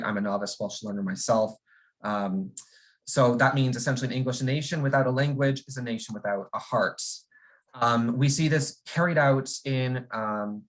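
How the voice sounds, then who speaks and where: A man giving an academic conference presentation over a video call, measured and pausing often with ums and restarts. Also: mid-range voice, rough recording.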